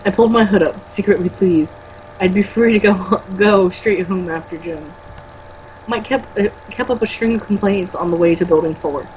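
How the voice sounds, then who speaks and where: Woman reading aloud over clear background noise. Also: mid-range voice, normal speed, a little mellow, low-quality recording. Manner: stumbles over words when reading, blunt and sweary in asides. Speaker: co-host reading a novel aloud with commentary. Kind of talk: amateur read-aloud with mocking casual commentary.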